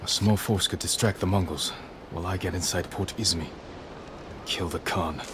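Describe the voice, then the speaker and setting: Grave, resolute samurai played by a man for a game drama: deep, terse, measured delivery, noticeable ambient noise. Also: fairly even intonation, close to an everyday voice. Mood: grim determination. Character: grave, resolute male samurai warrior plotting battle. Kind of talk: video game cutscene acting.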